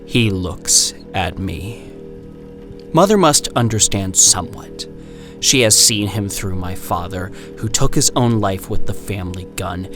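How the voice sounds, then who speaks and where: Man reading as slow, ominous horror storyteller. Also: low voice, animated. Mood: brooding. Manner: measured, poetic, ominous pauses. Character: grim, bitter narrator reading an eerie first-person horror tale. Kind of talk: dramatic literary reading. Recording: music behind the voice.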